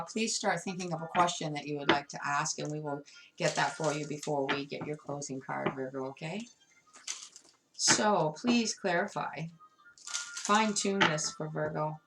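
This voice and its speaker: A woman's voice: low-pitched, creaky, rough recording. A woman giving a tarot card reading, talking straight to the viewer with emphatic, repetitive phrasing. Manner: emphatic, repeats words, addresses viewer directly. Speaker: tarot reader.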